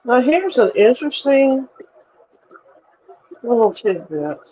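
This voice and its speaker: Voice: medium pitch, big pitch swings, slow pace, darkish tone, breathy edge, highs cut off. A co-host on a casual livestream who mostly adds brief agreement and short remarks.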